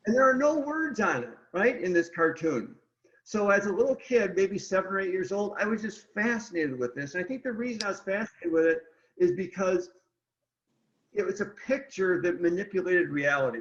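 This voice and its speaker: A man's voice: high-pitched, animated, moderate pace. A man, an illustrator being interviewed, explains his ideas step by step in casual talk, speaking straight to his listeners. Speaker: picture book illustrator, guest. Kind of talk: casual explanatory chat about art. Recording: roomy echo, slightly dull lo-fi sound.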